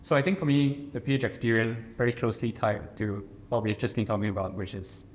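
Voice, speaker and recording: A poet from Singapore with a Singaporean accent, telling a personal story in a measured, earnest way on a panel. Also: male voice, on the low side, steady tone, slight background noise. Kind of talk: personal reflective talk. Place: reception room at a residence.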